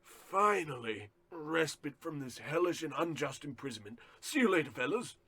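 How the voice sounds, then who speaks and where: A man playing a pompous, theatrical disgraced company president in a radio comedy play, with a husky voice. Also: on the high side, sing-song, moderate pace, sharp tone, heavily acted. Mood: grandly relieved. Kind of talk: old-time radio comedy melodrama.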